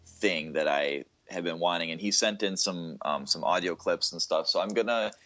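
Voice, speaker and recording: A man's voice: deep voice, normal speed, sharp tone, no room sound, muffled recording. A man co-hosting a gaming podcast, talking in a casual, informal and opinionated way. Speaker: podcast co-host.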